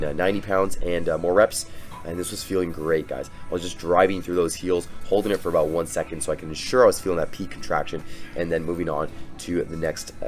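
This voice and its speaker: A young man with a cold, sounding hoarse, narrating his own workout footage in a relaxed, rambling way with many fillers and restarts. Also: deep voice. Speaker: fitness vlogger. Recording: faint music behind the voice.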